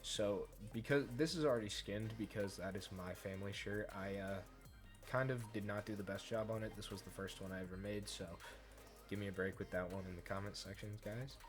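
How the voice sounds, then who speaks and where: A man hosting a software tutorial, explaining each step informally in a casual, conversational way. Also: fairly low pitch, fairly even intonation, a little fast, over music. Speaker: tutorial host. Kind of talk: casual screen-recorded software tutorial narration.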